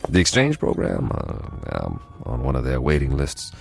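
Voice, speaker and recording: A gravelly, wry code breaker. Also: male voice, a bass voice, huge pitch swings, normal speed, faint music behind, exaggerated voice. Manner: dry, wry, conversational. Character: wry, world-weary male code breaker. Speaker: former code breaker, narrator of the story. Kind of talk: radio drama acting and narration.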